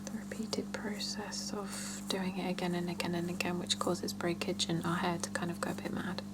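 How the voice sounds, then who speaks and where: A woman whispering softly in an ASMR roleplay as a salon scalp therapist, chatting and explaining gently and without hurry. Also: fairly low pitch, level tone, normal speed, very noisy. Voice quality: soft breathy whisper with creaky edges.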